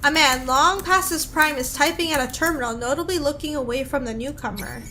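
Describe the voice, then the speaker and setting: A woman streaming a video game, chatty and expressive, switching between reading aloud and casual commentary. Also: high voice, a little fast, a little hiss or hum. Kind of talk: casual playthrough commentary and read-aloud narration. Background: game music.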